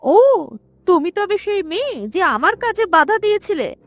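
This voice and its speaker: Woman acting young cartoon princess, very high pitch, animated swings, arrogant, imperious, scornful. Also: unhurried, soft background music, full character voice. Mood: haughty. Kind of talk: animated moral story voice acting.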